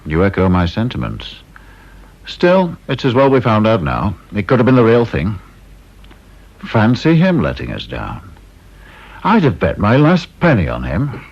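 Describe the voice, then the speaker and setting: An actor playing a coolly calculating security chief in a radio drama, British accent, with a measured, dryly understated manner. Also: male voice, fairly low pitch, huge pitch swings, breathy edge, exaggerated voice. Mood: regretful. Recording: dull radio play recording.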